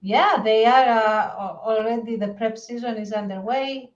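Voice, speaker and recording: A woman's voice: on the high side, moderate pace. A woman co-hosting a podcast in a casual, chatty way. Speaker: podcast co-host. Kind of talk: casual chat. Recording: muffled, echoey, far from the mic.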